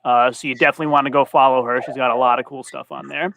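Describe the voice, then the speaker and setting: A man's voice: medium pitch, level tone, rapid-fire, creaky, fairly bright tone, a little hiss or hum. A man hosting a live-stream interview, friendly and conversational. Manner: friendly, conversational, laughs easily. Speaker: interview host.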